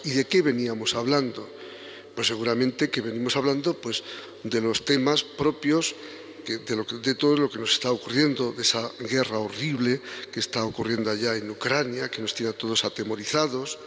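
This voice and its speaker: Gravelly older priest in echoing church. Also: male voice, mid-range voice, fairly bright tone. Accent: Spanish from Spain. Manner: conversational, rising to fervent emphasis. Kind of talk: homily at Mass. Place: large church, indoors. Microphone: stand microphone at lectern. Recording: long church reverb.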